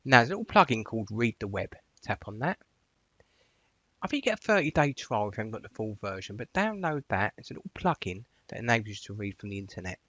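A man narrating an informal software tutorial in a chatty, directive way, stressing the starts of his phrases. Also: on the low side, very animated, quick pace, slightly rough, dry recording, muffled recording. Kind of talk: informal instructional screencast narration.

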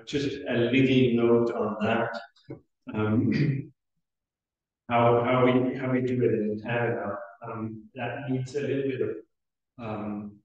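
Echoey, distant middle-aged man speaking haltingly. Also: medium pitch, a little mellow, muffled recording. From Switzerland. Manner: halting, hesitant, pausing often. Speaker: academic conference chair. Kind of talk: conference welcome speech. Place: indoor lecture room at a lectern. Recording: very echoey room, far from the microphone.

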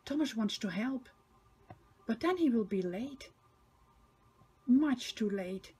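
Slow, animated older woman reading a storybook. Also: a little high, husky. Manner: emphatic, sing-song repetition. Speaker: storybook reader for children. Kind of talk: expressive read-aloud narration for small children.

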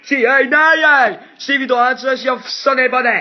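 A man's voice: very high-pitched, a little fast. Young man, taunting prank caller, repetitive slang, muffled lo-fi sound, music behind. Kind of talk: casual prank call banter.

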